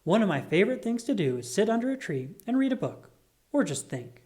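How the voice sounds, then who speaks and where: A storybook reader voicing a thoughtful, bookish young girl who speaks calmly and politely. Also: mid-range voice, fairly quick, voice pushed up, close to an everyday voice.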